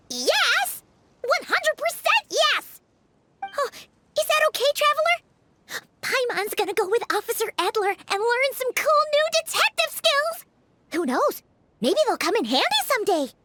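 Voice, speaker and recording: A chirpy pint-sized companion, squeaky and lively, dry close sound. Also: female voice, unhurried, no room sound, heavily acted. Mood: excited. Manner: excitable, refers to herself in third person. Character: tiny chirpy floating girl companion, excitable. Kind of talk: game cutscene dub.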